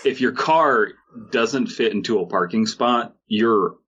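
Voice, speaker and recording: A man's voice: medium pitch, unhurried, fairly bright tone, slightly rough. A man co-hosting a podcast with casual, comedic banter and a sarcastic, profane way of talking. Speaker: podcast co-host. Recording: dull, lo-fi microphone sound.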